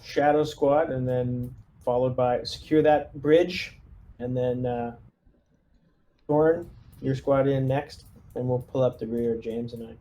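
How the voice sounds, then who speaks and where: A man's voice: mid-range voice, steady tone, slow pace, mellow. A man playing a tabletop game, chatting casually with the group.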